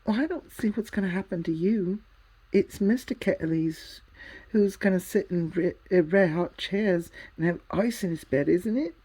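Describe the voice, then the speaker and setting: A woman voicing a prim, sharp-tongued young English girl in a storybook reading, cool and sarcastic. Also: on the low side, normal speed, husky, pitched above the performer's own voice, low-quality recording. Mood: dismissive.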